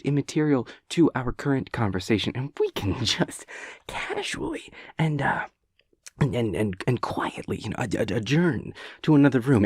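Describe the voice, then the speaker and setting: A man playing a chaotic, nervous, evasive boyfriend in a roleplay audio, stammering and rambling with halting hesitations. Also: medium pitch, mellow, husky, no echo, studio quality. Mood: sheepish, coaxing. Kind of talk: improvised-sounding roleplay audio monologue.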